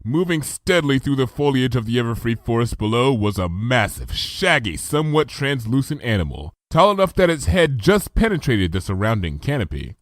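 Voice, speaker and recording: A man narrating a comic fan story in a lively storytelling style. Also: medium pitch, moderate pace, hardly any pauses, dry recording, studio quality. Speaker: fanfiction reader. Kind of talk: dramatic audiobook narration.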